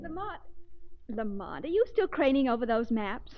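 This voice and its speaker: A curious, teasing female companion from a 1930s radio mystery. Her voice is high and lilting, and the recording is muffled and old. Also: sing-song, normal speed, loud music behind the voice. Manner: lilting, questioning.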